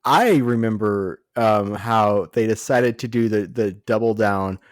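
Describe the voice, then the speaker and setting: A man's voice: fairly low pitch, a little slow, no echo, clean studio sound. An American man co-hosting a podcast in casual comedic chat, ranting with mock exasperation. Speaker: podcast co-host.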